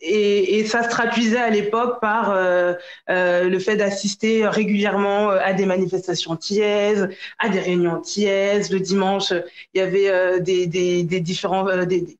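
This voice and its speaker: A woman giving casual interview answers, often searching for words and repeating herself. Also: mid-range voice. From France, Paris region. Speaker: podcast guest. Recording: echoey, dull lo-fi sound.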